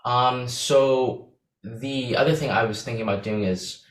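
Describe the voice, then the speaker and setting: A man's voice: mid-range voice, measured pace, reverberant, poor audio. A young man from the Philippines, speaking Visayan as his first language, answering interview questions casually with many fillers and restarts. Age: young adult. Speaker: interview guest.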